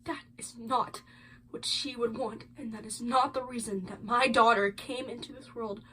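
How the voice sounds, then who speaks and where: An amateur actress performing a stage monologue as a grieving, principled mother, halting and anguished. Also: fairly high pitch, fairly even intonation, bright, forceful tone, a little hiss or hum, poor audio. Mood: resolute, grieving. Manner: halting, anguished, weighty pauses. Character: grieving, principled mother who lost her daughter.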